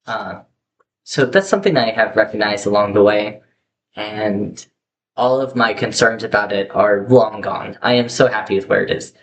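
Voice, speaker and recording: A young man talking candidly to his camera in a casual vlog, rambling with lots of fillers and restarts on a low-quality microphone. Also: moderate pace, muffled recording. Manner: chatty, candid, rambling with many fillers and self-corrections. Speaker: vlogger. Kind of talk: casual personal talk to camera. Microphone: poor camera microphone. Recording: faint background hiss, low-quality mic.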